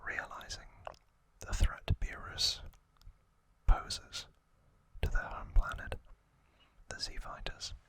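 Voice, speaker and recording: A man hosting ASMR trivia in a breathy, unvoiced whisper, speaking in drawn-out phrases with frequent pauses, close to the microphone. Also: bright, forceful tone. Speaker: ASMR host.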